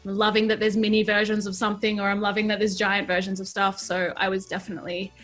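A woman's voice: medium pitch, little pitch movement, fairly bright tone, soft background music. A woman in her thirties from New Zealand, an enthusiastic guest on a casual craft chat. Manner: enthusiastic, gushing, fast bursts. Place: colourful craft studio set.

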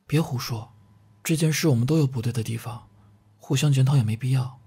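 A man acting a gentle, reserved student and shy young lover in a Chinese audio drama, with a soft, earnest manner. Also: medium pitch, a little fast, creaky, breathy edge. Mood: calm, conciliatory.

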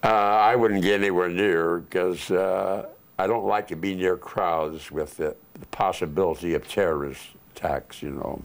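Very deep-voiced older man, unhurried. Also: fairly even intonation. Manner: unhurried, rambling, fillers "uh" and "see". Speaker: interviewee, war veteran. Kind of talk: casual oral history interview. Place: living room, seated on couch. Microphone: lapel mic.

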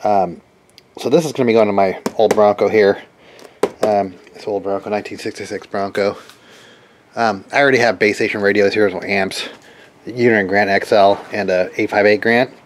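A man giving a casual, unscripted hands-on demo as a hobby repair channel host, haltingly thinking aloud and repeating phrases. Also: on the low side, fairly even intonation. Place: cluttered garage workshop with open door. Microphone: handheld camera microphone. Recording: noisy handheld camera in a garage. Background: ambient noise.

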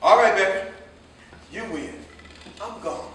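A man playing a high, maudlin American drunkard. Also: lively intonation, takes it slowly, fairly bright tone, heavily acted. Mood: pleading. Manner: rambling, emotional. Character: maudlin, pleading drunkard, sentimental jitney driver. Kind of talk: staged play reading. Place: indoor staged reading, recorded from afar. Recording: echoey, noisy stage from far off.

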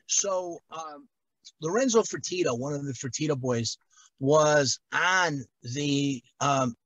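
A man's voice: a little high, slow, a bit bright, dry recording, poor audio. Chatty middle-aged man on a video call. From Connecticut, US. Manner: rambling, lots of fillers and restarts. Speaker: guest, former wrestler. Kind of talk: casual storytelling on a video call.

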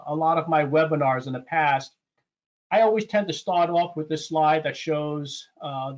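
A man hosting a product webinar, explaining slides in an informal, conversational way and often repeating his phrases. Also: on the high side. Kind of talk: informal slide presentation to an online audience. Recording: dull, muffled webinar audio.

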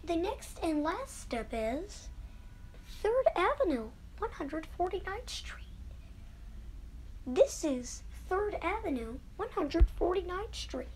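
Female transit announcer, very high voice, measured scripted stop calls, noise behind. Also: very animated, a little mellow, poor audio. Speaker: subway train announcer.

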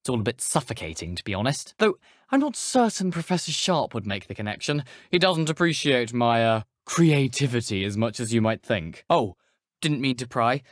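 A man's voice: medium pitch, big pitch swings, moderate pace, no room sound, clean studio sound, lightly acted. A young man with a British accent playing an eager, cheeky teenage wizard schoolboy in a video game, chatty, persuasive and self-assured. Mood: friendly, chatty. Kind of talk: video game character dialogue.

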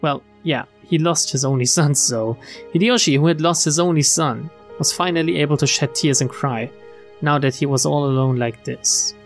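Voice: mid-range voice, vocal fry. A streamer reading visual novel text aloud in a dramatic narrating style. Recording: game music behind the voice.

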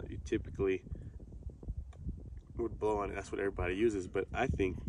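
A young man with a gravelly voice talks haltingly and casually to camera, reviewing gear. He is outdoors and a little way from the mic, with very loud noise over the recording. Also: on the low side, recorded from a distance. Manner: halting, unscripted delivery. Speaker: video host, hunter reviewing gear. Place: outdoors on an open grassy hillside. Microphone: camera a few metres away.

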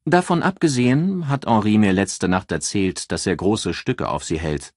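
A man's voice: on the low side, moderate pace, no echo, studio quality, voice pushed up, close to an everyday voice. A man voicing a self-assured career woman in an audiobook, with a cool, drawling, ironic and taunting manner. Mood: composed.

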